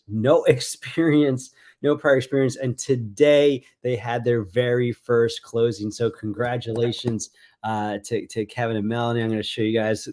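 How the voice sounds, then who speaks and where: A man hosts an interview show in a casual, enthusiastic way. He often repeats his words, and his voice sits at an ordinary middle pitch. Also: a little mellow. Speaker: host.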